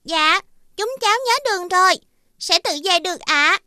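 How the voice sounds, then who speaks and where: A sweet, very high-pitched young girl. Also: moderate pace, pushed and bright, no room sound, clean studio sound, heavily acted. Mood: polite. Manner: sweet, polite, generous. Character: kind, cheerful young girl.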